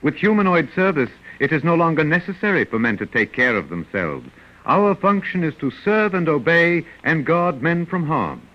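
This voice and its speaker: Radio drama robot servant: highish voice, servile, formal, calmly insistent, dull vintage recording. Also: male voice, normal speed. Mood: serenely insistent. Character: polite, relentless humanoid servant robot, serenely controlling. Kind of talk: 1950s radio drama acting.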